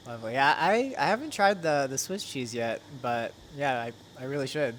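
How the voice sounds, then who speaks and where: A man hosting a casual interview as a vegan chef, easygoing and quick to laugh. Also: mid-range voice, animated, fairly bright tone, a little hiss or hum. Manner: easygoing, laughs often, short affirmations.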